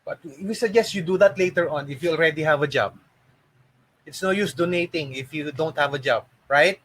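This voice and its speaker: A man's voice: fairly high pitch, fairly quick, fairly bright tone. A man with a Filipino accent teaching a live online lesson, repeating his points and asking questions to encourage learners. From Philippines. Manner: repeats phrases and asks rhetorical questions, encouraging. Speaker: online English and call center trainer.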